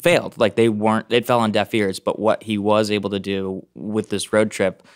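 A man's voice: lowish voice, little pitch movement, moderate pace. Chuckling man telling a story at a podcast. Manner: self-deprecating, giggly storyteller. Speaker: field producer, podcast guest. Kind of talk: casual chat. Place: small podcast studio. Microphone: large studio mic on a stand.

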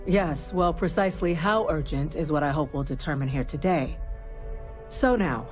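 A composed stateswoman in a sci-fi video game, presiding formally. Her voice sits quite low for a woman, and she words things deliberately. Faint music plays behind her. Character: formal, composed female president chairing a cabinet.

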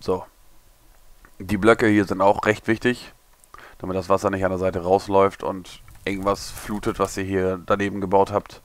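A young man, a little hungover and hoarse, mumbling and thinking aloud as he builds in a game tutorial. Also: deep voice, flat delivery, normal speed. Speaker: gaming tutorial creator. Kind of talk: casual commentary while building.

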